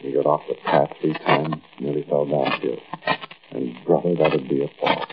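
An American man playing a frightened robber who narrates a night heist in a halting, conversational 1940s radio drama style. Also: extremely low pitch, fairly even intonation. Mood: brooding. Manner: conversational, brooding, halting storytelling. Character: uneasy, frightened male bank robber recounting a night heist. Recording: muffled, noisy old-time radio recording.

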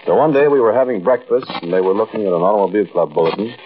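An actor playing a folksy American man telling a first-person story in 1940s radio style, conversational and musing, with hesitant pauses. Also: on the low side, natural voice. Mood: conversational, reminiscing. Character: folksy American man recounting an uncanny mountain outing. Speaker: narrator and lead character. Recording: muffled, hissy old-time radio recording.

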